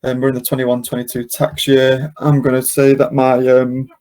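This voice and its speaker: Male webinar host, mid-pitched and relaxed in tone, explaining software steps in an informal, unscripted way. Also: moderate pace. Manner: casual, step-by-step explaining.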